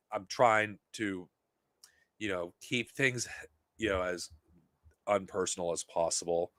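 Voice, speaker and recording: A man hosting a podcast, talking off the cuff to his viewers in a heated, profane, rambling way, his voice getting worn and hoarse. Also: mid-range voice, fairly bright tone, no room sound, studio quality. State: losing his voice. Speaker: podcast host. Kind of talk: unscripted monologue to viewers.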